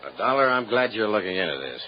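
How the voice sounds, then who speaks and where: A man's voice: lowish voice, big pitch swings, fast talker, a little hiss or hum. An actor playing a folksy, easygoing small-town male police lieutenant in an old radio drama, on a dull lo-fi broadcast. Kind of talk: 1950s radio drama acting.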